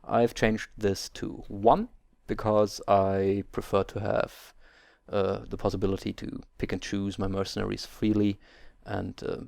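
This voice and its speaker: A man's voice: on the low side, flat delivery, measured pace, gravelly, darkish tone, no echo, clean studio sound. A German man speaking English as a second language, hosting a game let's play and carefully explaining settings step by step with small hesitations. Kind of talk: video game tutorial commentary.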